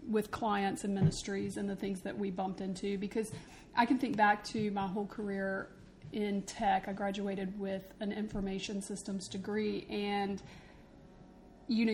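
A woman's voice: mid-range voice, darkish tone. A woman speaking as a podcast co-host in casual, unscripted conversation. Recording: clear background noise, ambience.

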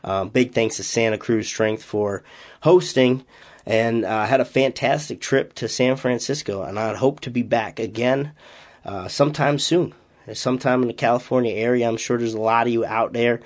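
A man with a light Massachusetts accent talks alone into a podcast mic, upbeat and easygoing. His voice sits at an ordinary middle pitch, and he wanders from point to point with plenty of "uh" and "you know" along the way. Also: normal speed. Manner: upbeat, rambling, many "uh" fillers. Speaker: strength coach and podcast host. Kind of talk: casual solo podcast talk.

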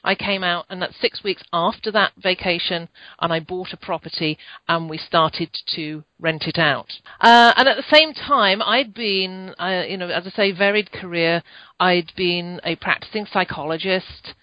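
Older British woman, low, muffled. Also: normal speed, no echo. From United Kingdom. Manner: chatty, frequent restarts. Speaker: podcast guest. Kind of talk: conversational interview storytelling. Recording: muffled lo-fi dry sound.

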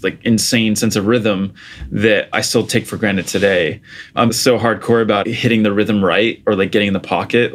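A man in his thirties from Portugal with an American accent, a guest chatting casually about his start in music, with many "like" and "you know" fillers. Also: fairly low pitch. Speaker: interview guest, music producer. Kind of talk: casual conversational storytelling.

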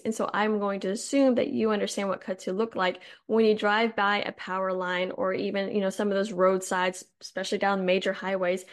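Slightly hoarse, gravelly woman. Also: medium pitch. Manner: chatty, explanatory, with asides. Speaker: podcast host. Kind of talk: casual educational talk on forestry.

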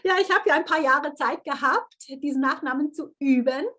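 A woman in her thirties hosting a podcast interview, lively and enthusiastic, often slipping in English phrases. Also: sits high, fairly quick. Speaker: podcast host.

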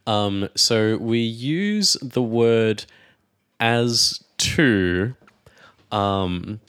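Male co-host, unhurried and halting, thinks aloud, low voice, dry recording. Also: lively intonation, a bit bright, smooth, no echo. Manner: hesitant, thinking aloud with many fillers. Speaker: co-host and English teacher. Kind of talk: casual chat.

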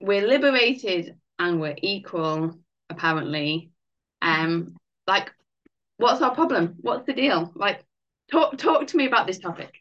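A woman's voice: medium pitch, animated, measured pace, a bit bright. A woman hosting a podcast interview in a chatty, upbeat way, dropping in fillers like "like.". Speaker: podcast host, life coach. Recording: muffled lo-fi audio.

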